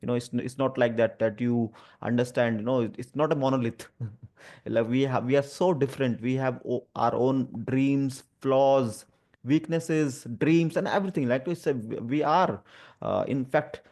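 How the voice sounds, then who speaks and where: A man's voice: mid-range voice, fairly even intonation, a little mellow. Indian man with a stammer. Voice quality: stammer, with repeated sounds and blocks. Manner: hesitant, halting, earnest phrasing. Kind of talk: personal talk about lived experience, conversational.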